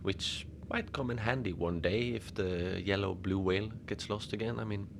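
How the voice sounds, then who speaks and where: A man playing a lonely, gentle Antarctic facility manager, rambling hesitantly and apologetically in a cozy fiction podcast monologue. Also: on the low side, moderate pace, vocal fry, background noise. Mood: chatty, wry. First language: Norwegian. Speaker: podcast narrator.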